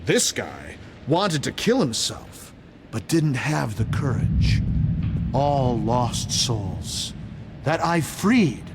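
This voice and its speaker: An actor playing an eerie, menacing asylum inmate and killer in a theatrical way for a video game. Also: male voice, mid-range voice, very animated, drawn-out words, heavily acted. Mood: sinister, proud. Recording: heavy background noise.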